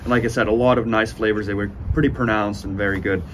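A man in his twenties giving a casual, unhurried review to camera, speaking with enthusiastic praise. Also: lowish voice, fairly even intonation, fairly bright tone. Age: 20s. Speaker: cigar reviewer, host. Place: outdoors on a sunny porch by windows. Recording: outdoor ambient noise.

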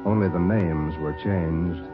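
A narrow, tinny old radio recording of a low-voiced American man. He announces solemnly from a script, with music behind him. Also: takes it slowly.

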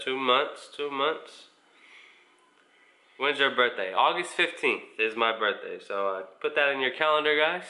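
A man's voice: mid-range voice, pushed and bright. A man of about twenty with an American accent, chatting casually to camera as he answers viewer questions in a laid-back, informal way. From United States, mostly Indiana. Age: around 20. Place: small living room at home, indoors.

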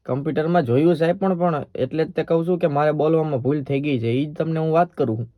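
A man's voice: fairly high pitch, fast, no echo, phone-quality sound. A man with a Saurashtra Gujarati accent making a prank call as a polite, persistent bank employee. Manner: polite, persistent, official-sounding. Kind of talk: prank phone call in casual dialect.

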